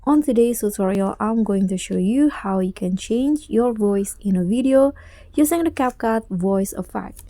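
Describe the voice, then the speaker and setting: Female tutorial narrator, casual and instructional, with a dark mellow voice and very dry sound. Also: mid-range voice, expressive, moderate pace, dry recording. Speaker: tutorial host.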